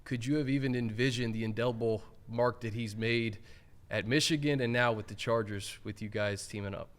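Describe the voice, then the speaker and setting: A man's voice: medium pitch, steady tone, brisk. An American man hosting a sports podcast, asking questions in a conversational interview style.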